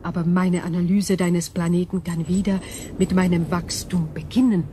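A woman playing an ancient alien cosmic intelligence in a science fiction radio drama, calm, analytical and formal, with a measured, detached delivery. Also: fairly low pitch, a little hiss or hum, lightly acted.

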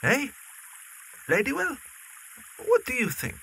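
A man acting a jolly, presumptuous young gentleman in an audio drama, breezy and exclamatory. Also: very high voice, huge pitch swings, slow pace, vocal fry, slight background noise, heavily acted, poor audio. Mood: breezy, jovial.

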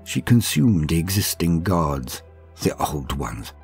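A man playing a cruel, decadent ancient alien aristocrat, telling a horror tale in a grandiose, haughty, theatrical way with slow pauses. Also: deep voice, sing-song, a little mellow, heavily acted. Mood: defiant, reverent. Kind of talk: dramatic first-person scripted horror storytelling. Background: music.

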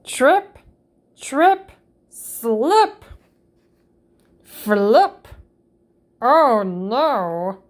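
Slow sing-song woman reading aloud. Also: very animated. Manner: slow, sing-song, jumps high on playful sound words. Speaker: storybook reader. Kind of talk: children's read-aloud.

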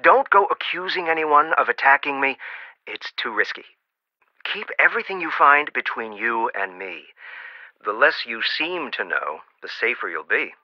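Man voicing a witty game archaeologist, animated delivery, dry dull lo-fi sound. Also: medium pitch, normal speed, no echo, natural voice. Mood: wry. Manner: wry, lively, encouraging. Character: dry-witted male archaeologist, middle-aged, wry and encouraging. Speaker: archaeologist on the phone to the player. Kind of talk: video game voice acting.

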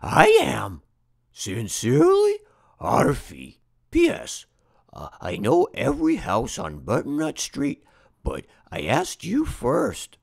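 A man performs a homeless little dog from a picture book, eager and pleading. The delivery is halting and unhurried, with huge swoops in pitch and some creak in the voice. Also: exaggerated voice. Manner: hesitant, stammering, expressive. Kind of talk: storybook character read-aloud.

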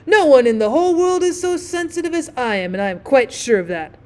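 A woman's voice: high-pitched, lively intonation, fairly quick, a little mellow, voice pushed up. A woman voicing a vain, self-obsessed male firework rocket in a storybook reading, with haughty, indignant, self-important delivery. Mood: haughty, huffy.

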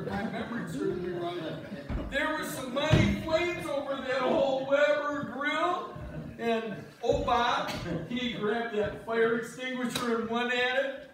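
A performer playing a bossy, teasing male ice fisherman, folksy and deliberate, in an amateur stage reading. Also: extremely high pitch, a bit bright, full character voice. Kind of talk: amateur reader's theater, read from script. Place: on stage in a church, audience seated. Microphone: far camera. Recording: very echoey church, distant camera, faint noise.

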